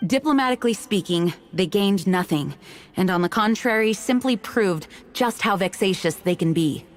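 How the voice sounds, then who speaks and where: A measured, dutiful female commander from a video game played by a woman. Her medium-pitched voice is formal and articulate, with soft music underneath. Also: expressive, natural voice. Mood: composed. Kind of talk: video game character acting.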